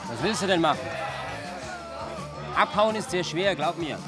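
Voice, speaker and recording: An actor in a radio play playing a discouraging, world-weary man who warns bluntly and forcefully. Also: sits high, expressive, a little slow. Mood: blunt warning. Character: discouraging, world-weary man warning a youngster against running away. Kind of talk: German radio play acting. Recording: loud street crowd and music behind.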